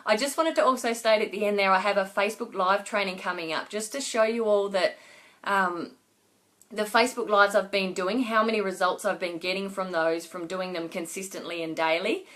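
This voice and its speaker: Woman, business coach on a live stream, chatty and rambling, slightly hoarse from a cough. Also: medium pitch, brisk, fairly bright tone. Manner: rambling, many fillers and restarts. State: losing her voice with a cough. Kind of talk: casual Facebook Live talk to viewers.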